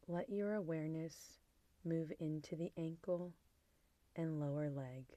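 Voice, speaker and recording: A woman guiding a body scan meditation in a soft, gentle, soothing manner, with phrases trailing into a near-whisper. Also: sits low, unhurried, no room sound. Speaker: meditation guide.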